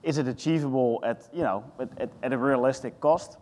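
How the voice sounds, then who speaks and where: A Dutch man in his thirties on a conference panel, thinking aloud with lots of restarts. His voice sits at a middling pitch. The hall around him adds a long, ringing reverb. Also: a little fast, darkish tone. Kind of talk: panel discussion.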